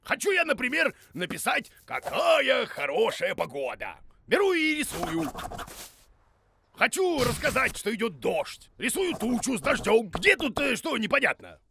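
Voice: medium pitch, huge pitch swings, unhurried, creaky, background noise, heavily acted. An actor voicing a grumpy, boastful bearded male creature who teaches in a children's cartoon, blustering and grumbling as he goes. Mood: breezy, impatient.

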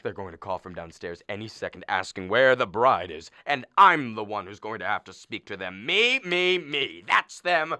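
A man's voice: on the low side, very animated, moderate pace, hardly any pauses, pushed and bright, dry recording, exaggerated voice. An actor playing a blustering, exasperated middle-aged father of a bride in a radio comedy, theatrical and argumentative. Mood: panicked, irritated. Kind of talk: stage comedy performed as a radio play.

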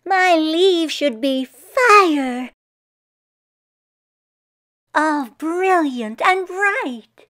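Woman reading as a very slow, high-voiced, earnest tree. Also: dry recording, clean studio sound, heavily acted. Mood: frustrated. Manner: slow, deliberate, expressive rhymed storybook reading. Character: earnest female tree, exasperated by her misbehaving leaves. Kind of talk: children's picture book read-aloud.

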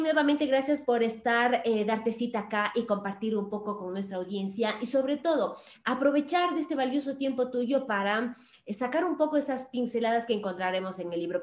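A woman with a fairly high voice presents a podcast in an upbeat, chatty way. She speaks effusively and directly to her audience. The room around her adds a clear echo, as on a video call from home. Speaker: podcast host. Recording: roomy, echoing room sound.

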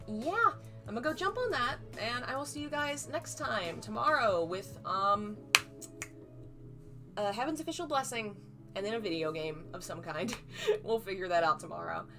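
A woman in her thirties reads a novel aloud from a small room at home, her voice a little hoarse. She voices the dialogue with feeling and lifts her pitch for the frightened lines. Faint music plays quietly behind her. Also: expressive, moderate pace. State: slightly hoarse. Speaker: streamer reading a novel aloud. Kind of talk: live book reading, then casual sign-off.

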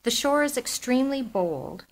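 A middle-aged woman from Toronto with a Canadian accent, an author speaking in a measured, articulate way as in a literary reading. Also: high-pitched, sing-song. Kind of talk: literary reading and self-introduction.

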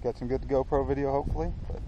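An American man with a medium-pitched voice talks casually to his camera as an outdoor vlogger. His delivery is plain-spoken and easygoing, pausing mid-phrase as he goes. The action-camera audio is clearly noisy, recorded out in the open. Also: steady tone, highs cut off. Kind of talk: casual off-the-cuff chat to camera. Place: outdoors on an open hilltop trail. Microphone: action camera at arm's length.

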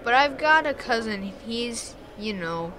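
A man's voice: very high voice, lively intonation, unhurried, noisy background. An actor playing an earnest, troubled young boy in an audio drama, speaking hesitantly.

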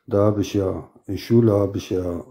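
A man from Iraq whose first language is Arabic, a guest on a live stream who talks casually in halting, simple phrases. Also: lowish voice, steady tone, mellow. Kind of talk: casual chat.

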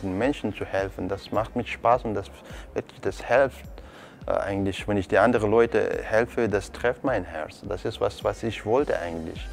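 A man's voice: lowish voice, normal speed, creaky, faint music behind. A young man from Afghanistan, a nursing trainee speaking earnest, simple into a lapel mic. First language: not German. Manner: earnest, simple non-native phrasing.

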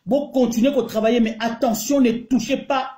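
A woman's voice: on the high side, steady tone, normal speed, dark tone. Indignant Congolese woman ranting. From Congo (DRC). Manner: indignant, emphatic, mixes French and Lingala. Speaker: online commentator. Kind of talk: heated video rant. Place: small room indoors. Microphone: phone held close to the face. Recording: echoey room.

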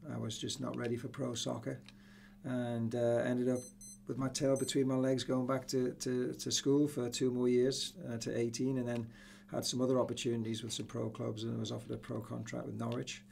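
A middle-aged man with an English accent, reminiscing casually as an interview guest, often pausing with you-knows. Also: mid-range voice, flat delivery, vocal fry, darkish tone, slight background noise. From Newcastle, England. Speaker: soccer coach, interview guest. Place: small indoor room with acoustic panels. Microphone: podcast boom microphone.

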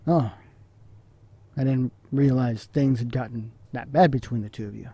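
Man playing a husky, downcast family man. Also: medium pitch, warm tone, no echo. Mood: subdued. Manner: halting, downcast. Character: despondent, guilt-ridden alcoholic husband and father. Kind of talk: audio drama acting.

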